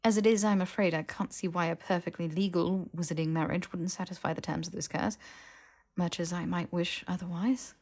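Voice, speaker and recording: A woman's voice: fairly low pitch, steady tone, fairly quick, a little mellow. A woman voicing a sensible, bookish young witch with a precise, scolding, exasperated manner in an audiobook. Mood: analytical. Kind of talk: fiction audiobook character voice.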